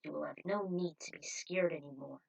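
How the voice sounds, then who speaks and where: A woman voicing a calm, silky male cult member with a persuasive, condescending manner, in an amateur story reading. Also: sits low, moderate pace, gravelly, pitched below the performer's own voice, full character voice, low-quality recording. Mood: smooth coaxing.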